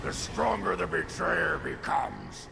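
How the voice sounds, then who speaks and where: A man voicing a wrathful, grandiose orc necromancer villain in a video game, declaiming threats in a gravelly voice. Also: fairly high pitch, very animated, takes it slowly, full character voice. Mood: triumphant fury. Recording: long reverb, clear background noise.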